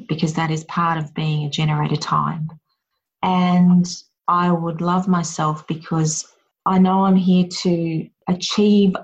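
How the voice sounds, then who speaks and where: A woman's voice: on the low side, husky, muffled recording. A woman hosting a casual chat, talking in a reflective, encouraging way.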